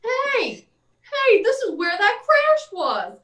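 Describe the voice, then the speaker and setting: A woman playing an excitable police officer in 1940s radio serial style. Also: very high voice, takes it slowly, exaggerated voice. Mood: excited. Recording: echoey room, distant from mic.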